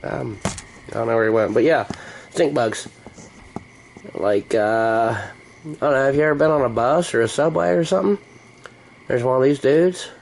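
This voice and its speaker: A man's voice: mid-range voice, moderate pace, vocal fry, long pauses, a little hiss or hum, poor audio. A man from the United States chatting casually as he vlogs about his hobby, in a playful, slangy and mildly profane way. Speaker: hobbyist vlogger. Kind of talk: rambling casual chat to camera.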